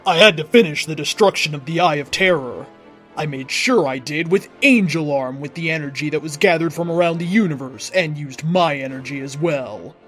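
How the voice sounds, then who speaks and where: A man with a slightly high voice reads a story aloud in a dramatic narrator's style. His delivery is deliberate and theatrical, and faint music plays behind him. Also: fairly bright tone. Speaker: host reading fan fiction aloud. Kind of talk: dramatic scripted story reading.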